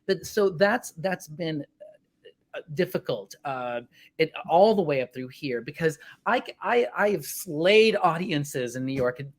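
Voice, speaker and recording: Guest singer: a man with a naturally high voice, halting and full of restarts. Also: animated. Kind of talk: casual interview chat.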